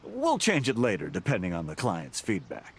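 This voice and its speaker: An actor playing a demanding, patronizing company boss in a video game, with a condescending, theatrical delivery. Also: male voice, on the low side, wildly swooping pitch, normal speed, slight background noise. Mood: patronizing, critical. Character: demanding, patronizing male company boss who turns flustered. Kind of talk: animated video game voice acting. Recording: music playing behind the voice.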